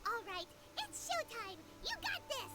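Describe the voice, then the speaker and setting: A woman's voice: very high-pitched, very animated, unhurried, exaggerated voice. A woman voicing a small, childlike, cheeky female fairy companion in a peppy video game style. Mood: excited. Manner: speaks of self in third person, peppy. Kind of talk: animated video game voice acting.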